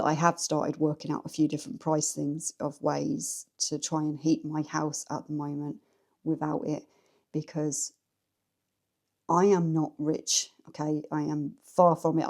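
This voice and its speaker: A woman with an English accent chats to her viewers from her living room. Her voice sits low and sounds mellow and relaxed. She is frank and talks to camera as if to a friend over a cuppa. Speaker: vlogger. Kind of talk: casual chat to camera. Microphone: camera set up in the room.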